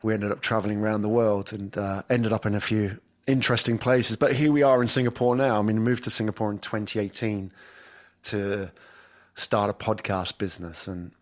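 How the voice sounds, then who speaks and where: A man's voice: on the low side, flat delivery, fairly quick. A man with an English accent, a podcast guest speaking remotely in a thoughtful, storytelling way. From England. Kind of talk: conversational interview. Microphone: remote call from afar.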